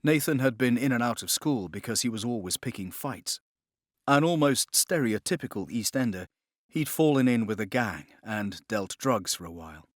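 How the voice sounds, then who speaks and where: A man reading a novel as scripted dramatic narration, in a measured, expressive storytelling manner. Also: mid-range voice, dry recording, clean studio sound. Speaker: audiobook narrator.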